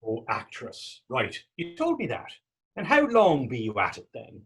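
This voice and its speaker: A man acts out a sympathetic, inquisitive Irish friend on the stage. The voice is husky and swings widely in pitch. He talks in florid, poetic, old-fashioned turns of phrase. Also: huge pitch swings, unhurried, fairly bright tone, heavily acted. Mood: curious, teasing. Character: sympathetic, curious, poetic Irish companion named Tommy. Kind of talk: stage readers theatre acting in dialect.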